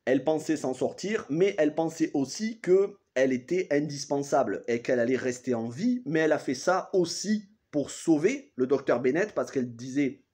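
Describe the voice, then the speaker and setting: A man's voice: fairly high pitch, lively intonation, normal speed, few pauses, dark tone, husky. A man in his thirties with a cold, recapping a TV episode in a casual, hesitant way and often correcting himself mid-sentence. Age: 30s. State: has a cold. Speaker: TV series reviewer.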